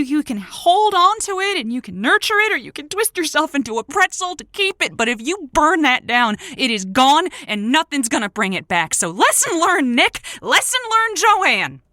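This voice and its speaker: A woman's voice: sits high, very animated, moderate pace, few pauses, bright, forceful tone, dry recording, studio quality, exaggerated voice. A woman acting as a dauntless, flirty radio matchmaker with a chatty, sympathetic way of talking. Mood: bitter, agitated. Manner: sympathetic, chatty, addresses listeners with pet names. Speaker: late-night call-in radio host. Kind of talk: conversational radio drama acting.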